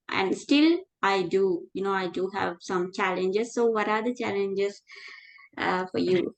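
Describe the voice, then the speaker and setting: A woman hosts a relaxed interview, speaking slowly and with a middle-pitched voice. Her talk is friendly and slightly halting, with many fillers and small restarts. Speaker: interview host. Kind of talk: casual conversation.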